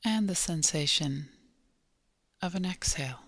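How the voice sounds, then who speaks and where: A woman leading a guided body scan meditation in a gentle, measured manner, leaving long pauses between short phrases. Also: fairly low pitch, lively intonation, breathy edge, no echo, clean studio sound.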